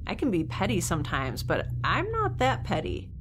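A woman voicing a blunt, sarcastic young scientist with a slightly lowish voice. She sounds dry and unimpressed. A light ambient hum sits behind her in the audio drama. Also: huge pitch swings, normal speed.

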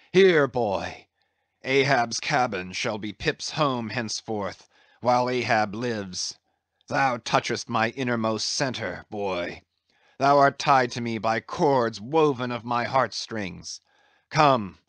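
A man's voice: mid-range voice, a bit bright, no echo, clean studio sound. A man playing a brooding, half-mad old whaling captain in a dramatised sea story, commanding and declaiming in grand archaic speech. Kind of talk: dramatised classic sea novel reading.

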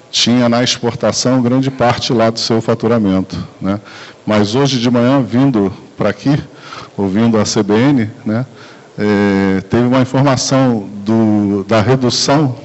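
A man with a Brazilian accent giving a relaxed, conversational sales presentation at a conference, into a handheld mic in a large room. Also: medium pitch. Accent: Brazilian Portuguese. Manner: relaxed, conversational, frequent tag questions and fillers. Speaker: sales talk speaker. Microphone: handheld mic, recorded by far camera. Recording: dull lo-fi camcorder audio.

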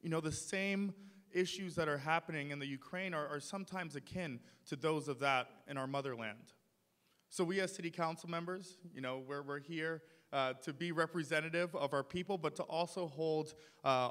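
A young man speaks at a podium in a big ornate hall, his voice bright and forceful. He builds to emphatic points with passion. A long reverb trails his words through the room. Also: on the high side, fairly quick. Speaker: city council member. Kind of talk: public speech at a podium. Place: large ornate hall, indoors. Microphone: podium mic. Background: audience in the hall.

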